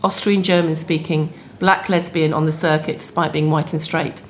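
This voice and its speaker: A British woman comedian with a fairly low voice, talking quickly in a relaxed podcast chat. She rattles through her stories and laughs often as she goes. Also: slight background noise. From Britain. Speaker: stand-up comedian, podcast guest. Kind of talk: casual interview chat.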